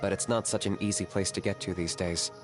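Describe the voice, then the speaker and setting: An actor playing a calm, pragmatic young male hunter who speaks evenly and measuredly. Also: low voice, over music, natural voice. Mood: explaining. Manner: calm, measured, even-tempered.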